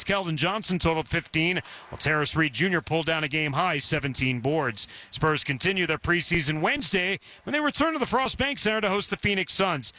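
A man's voice: high voice, sharp tone. A man reading a scripted sports news report on the radio.

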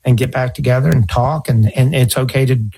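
A man's voice: mid-range voice, steady tone, a little fast, vocal fry, warm tone, breathy edge. A man in his fifties, a financial advisor talking earnestly, telling stories and repeating his points. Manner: earnest, storytelling, repeats himself. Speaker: financial advisor radio host. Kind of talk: conversational advice talk.

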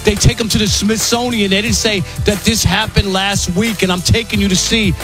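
A man on sports radio with a fairly high voice, pressing his questions in a deliberate way. Music plays clearly behind him. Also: fairly even intonation. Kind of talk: casual sports debate.

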